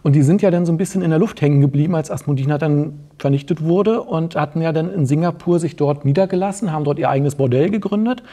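A man's voice: fairly high pitch, fast, few pauses, mellow. A middle-aged man as a talk show guest, explaining things informally and often adding little tag questions. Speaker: guest author. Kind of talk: casual explanatory chat. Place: small indoor talk studio.

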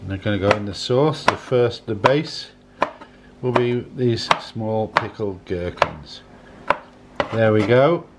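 A man's voice: lowish voice, slow pace. Older man cooking, clattering kitchen noise. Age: 60s. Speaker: home cook, presenter. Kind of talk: casual cooking demonstration. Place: home kitchen, indoors.

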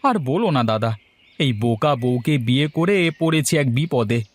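A man's voice: medium pitch, lively intonation, measured pace. A man playing an exasperated, hungry village farmer husband who complains dramatically in a comedy cartoon. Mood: aggrieved. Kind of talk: Bengali comedy cartoon dialogue.

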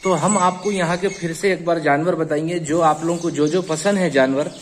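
A man's voice: a little high, steady tone, normal speed, a little hiss or hum. Chatty Hyderabadi man hosting a farm tour. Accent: Hyderabadi Hindi-Urdu. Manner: chatty, repeats answers back. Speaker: host of a goat channel. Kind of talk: casual on-site interview.

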